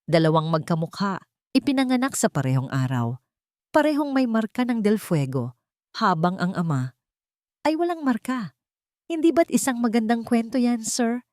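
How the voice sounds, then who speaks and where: Woman acting determined, vengeful mother with sweeping pitch. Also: big pitch swings, darkish tone, no echo, studio quality. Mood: pointed. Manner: firm, dramatic, deliberate.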